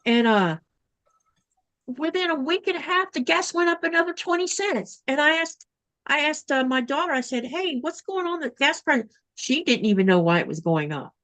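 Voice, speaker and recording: A woman's voice: high voice, lively intonation, moderate pace, a bit bright, rough recording. A woman from Florida co-hosting a casual sewing livestream over a video call, chatting and reading out viewer comments. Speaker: livestream co-host. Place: home in Greenland.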